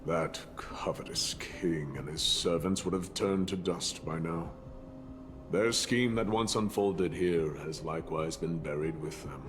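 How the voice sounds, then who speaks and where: An actor playing a grave, stoic warrior in a video game, speaking in a measured, solemn and formal way. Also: male voice, low voice. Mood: grim, resolute. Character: grave, stoic warrior ally, weary of a doomed world. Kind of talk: dramatic video game voice acting. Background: game music.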